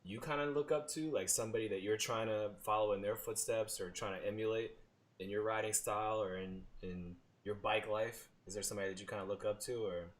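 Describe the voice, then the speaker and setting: A man hosting a podcast, asking his questions in a measured, careful way. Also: lowish voice, fairly even intonation. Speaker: podcast host. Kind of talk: interview questions.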